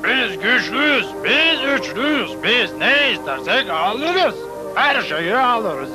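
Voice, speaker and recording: A man's voice: very high voice, very animated, slow pace, music in the background, exaggerated voice. A man voicing a greedy, boastful monster thief, chanting in a dubbed cartoon.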